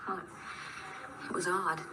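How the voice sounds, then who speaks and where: A woman's voice: on the low side, flat delivery, slow. An actress playing an anxious, sceptical young woman in a radio drama. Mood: worried, hesitant. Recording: distant, noisy radio drama playback.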